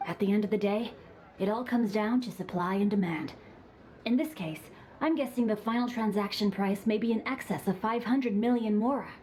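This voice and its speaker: A woman's voice: medium pitch, moderate pace, noisy background, lightly acted. Woman voicing a courteous young nobleman. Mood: calm. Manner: courteous, formal, composed. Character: refined young nobleman, polite and witty. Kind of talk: game dialogue.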